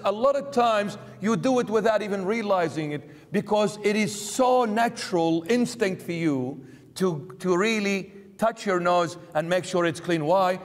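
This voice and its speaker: A middle-aged man preaching an emphatic sermon in a church, driving points home with repeated lists and rhetorical questions. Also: high-pitched. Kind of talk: sermon commentary. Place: church sanctuary, indoors. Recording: reverberant church hall.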